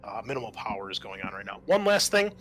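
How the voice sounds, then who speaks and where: A man's voice: lowish voice, big pitch swings, quick pace, a bit bright. A man acting as game master, explaining a scenario and thinking aloud as he recalls the rules.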